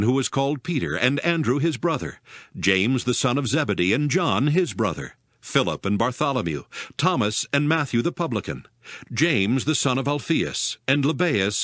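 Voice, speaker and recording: A man serves as narrator for a dramatized scripture reading. His mid-pitched voice moves with lively inflection while keeping a formal, deliberate storytelling delivery. The sound is very dry, with no room around it. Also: no echo.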